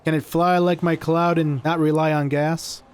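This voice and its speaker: Higher-pitched cocky male adventurer, acted by a man. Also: little pitch movement, normal speed, slight background noise, close to an everyday voice. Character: cocky, proud magical cloud rider named Rao. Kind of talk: audio drama acting.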